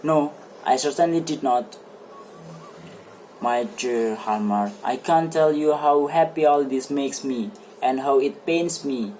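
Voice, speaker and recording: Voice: medium pitch, slow, a little mellow, slight background noise, close to an everyday voice, low-quality recording. An amateur reader playing a serious, brooding man who questions an old friend in a stage play, in grave, formal phrasing. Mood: grave, moved. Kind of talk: amateur dramatic play reading.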